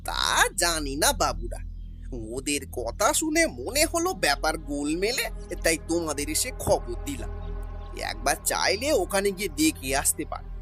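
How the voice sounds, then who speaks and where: A man's voice: fairly high pitch, big pitch swings, measured pace, exaggerated voice. A man voicing a flustered male household servant in an audio drama, who stammers and speaks urgently and deferentially. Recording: dry close mic over soft music.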